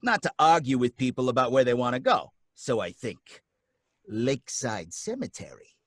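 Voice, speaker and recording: A man's voice: medium pitch, big pitch swings, moderate pace, a bit bright, husky, no echo, studio quality, exaggerated voice. A man playing a brash, world-weary working-class cab driver in a 1940s-style radio drama, with wry, slangy delivery. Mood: wry storytelling. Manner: streetwise, slangy, wry talk.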